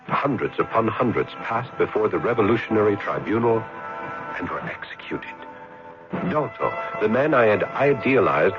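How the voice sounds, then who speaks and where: An actor playing a naive, idealistic, excitable young man in a radio drama. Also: on the low side. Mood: somber, haunted. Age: young adult. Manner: earnest, excitable, hesitant. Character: naive, idealistic young man caught up in revolution, later disillusioned. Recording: dull, muffled radio recording with music.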